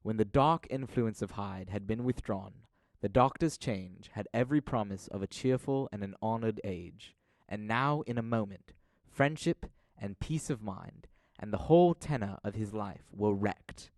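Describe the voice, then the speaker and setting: Low, dry male storyteller. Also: a little mellow, no echo. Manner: measured, dramatic storytelling. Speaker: narrator. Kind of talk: scripted audio drama narration.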